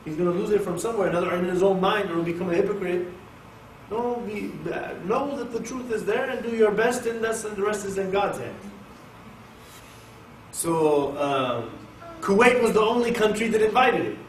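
A man from Oklahoma giving an informal Quran class to an audience in a mosque, talking in a jokey, animated way and turning to people in the room. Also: high voice, moderate pace. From Oklahoma, USA. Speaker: Quran teacher. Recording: echoey room, distant mic, faint hiss.

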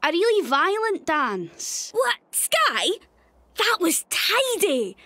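A cheeky young Scottish immortal from a video game. The voice sits high and swings about freely, playful and irreverent, in a dry close recording. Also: female voice, wildly swooping pitch, slow pace, pushed and bright, no room sound, heavily acted. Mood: excited, admiring. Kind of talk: video game character voice lines.

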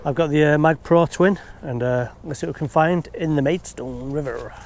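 A man's voice: on the high side, moderate pace, a little mellow, slight background noise. A man chatting casually as he magnet fishes from a riverbank, easygoing and often laughing. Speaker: magnet fishing vlogger. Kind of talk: casual commentary while magnet fishing. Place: riverbank outdoors. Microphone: body-mounted action camera.

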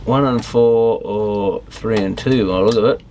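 A man with a fairly low voice talks himself through an electronics repair. His delivery is casual and unscripted, with fragmented thoughts that often trail off. Clear background noise runs behind him. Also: fairly even intonation, unhurried, warm tone. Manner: muttering, thinking aloud in fragments, trailing off. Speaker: electronics repairer and video host. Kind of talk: casual unscripted workbench commentary while tinkering.

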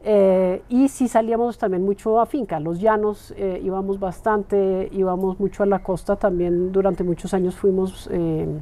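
A woman in her forties from Colombia, talking as an interview guest in a relaxed, thoughtful way and laughing easily. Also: mid-range voice, normal speed, few pauses. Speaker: interviewed guest, club member. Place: bright room with large windows, indoors.

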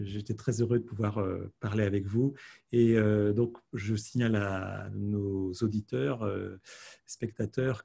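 A middle-aged man hosts a webcam interview, courteous and hesitant. His voice is low, dark and gravelly, with a husky edge. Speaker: interviewer host. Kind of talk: polite interview. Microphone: video call webcam.

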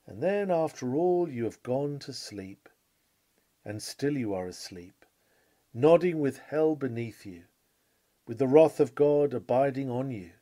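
A man reading an old Victorian sermon aloud in a measured, solemn, rhetorical manner. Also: mid-range voice, expressive, mellow. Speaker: sermon reader.

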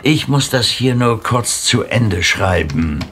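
A man's voice: on the low side, animated, slow, fairly bright tone, breathy edge, full character voice. An actor playing a patient, courteous male psychiatrist with a polite, reassuring manner in an audio drama.

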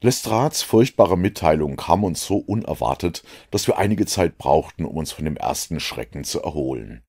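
A man reading a classic detective story aloud, his voice extremely deep and gravelly with a husky edge. He narrates in a measured, grave storytelling manner. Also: animated, a little mellow. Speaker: audiobook narrator. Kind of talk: scripted narration.

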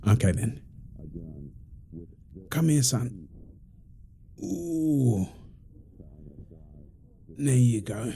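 A man's voice: mid-range voice, expressive, drawn-out words, gravelly, pauses often, dark tone, a little hiss or hum, full character voice. A man playing a playful, doting young father in an ASMR roleplay, teasing and affectionate with his family. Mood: gentle, coaxing. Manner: teasing, affectionate, laughing easily. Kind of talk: ASMR roleplay, improvised casual talk.

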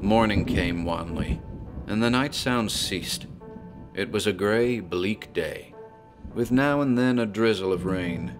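A man with a very deep, low voice tells a horror tale slowly and ominously. Faint music plays beneath his narration. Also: fairly bright tone. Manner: slow, measured, ominous storytelling.

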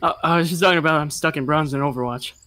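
A man's voice: on the high side, racing, pushed and bright. A young man improvising comedic anime dubs with friends in a profane, exclamatory way. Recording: music behind the voice.

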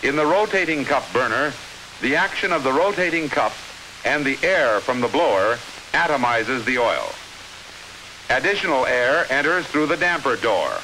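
An American man reading scripted 1950s instructional film narration in a measured, clearly enunciated, authoritative manner. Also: medium pitch, lively intonation, bright, forceful tone, poor audio. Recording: hissy 1950s film soundtrack.

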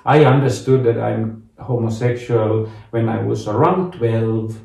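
A man, telling his personal story in an interview, haltingly searching for words. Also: on the low side, creaky, a little mellow, far from the mic. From Finland. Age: late 30s. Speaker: interview guest, actor. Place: small home bedroom, indoors. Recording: roomy echo, off the mic.

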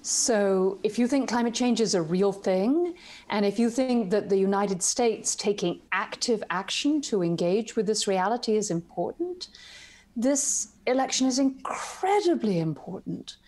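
A woman panelist speaks over a webcam. She sounds direct and emphatic. Her pitch sits in the middle. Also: animated, unhurried, darkish tone. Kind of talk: panel discussion on video call. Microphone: webcam on video call.

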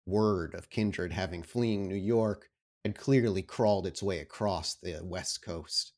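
Man, fairly low pitch, tabletop storyteller, descriptive scene-setting narration. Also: a little slow, darkish tone. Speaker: game master, storyteller.

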